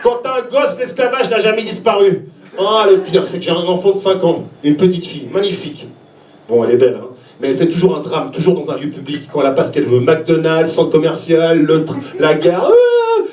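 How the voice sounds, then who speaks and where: Guy in his 40s doing standup, high voice jumping all over, exclaims a lot, echoey and kinda far from the mic. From Switzerland. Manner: animated, exclamatory, acts out little scenes and reactions; talks directly to the audience. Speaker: stand-up comedian. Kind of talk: stand-up comedy on stage. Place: small comedy venue open stage, indoors. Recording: echoey live room, distant mic.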